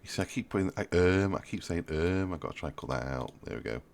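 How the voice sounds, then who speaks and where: A man, still a bit ill and stuffy, talking quietly and casually as he edits his own video, laughing at his slips. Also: animated, quick pace. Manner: quiet, low-key and muttering, often laughing at himself. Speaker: video maker explaining his editing. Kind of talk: casual commentary over his own footage.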